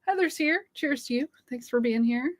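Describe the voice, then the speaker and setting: Giggly woman losing her voice. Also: high voice. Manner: giggly, chatty, reads out viewer comments. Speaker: livestream co-host. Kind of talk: casual livestream chat.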